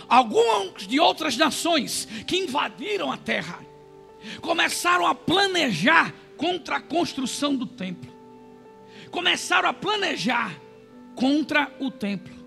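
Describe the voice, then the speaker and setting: Fervent high-pitched preacher. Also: male voice, gravelly. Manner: fervent, shouting, retelling scripture. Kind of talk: sermon.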